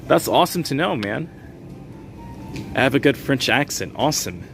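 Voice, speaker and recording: A man's voice: medium pitch, animated, normal speed, creaky, fairly bright tone, soft background music. A man hosting a casual livestream while shopping, chatting with viewers and reading their names aloud with easy fillers and small laughs. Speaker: livestream host. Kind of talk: casual live-stream chat while shopping. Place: grocery store, indoors.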